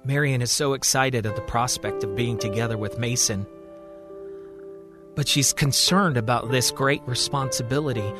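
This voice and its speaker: A male storyteller delivers dramatic scripted narration. His voice sits at a medium pitch, with clear music playing behind it. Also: normal speed.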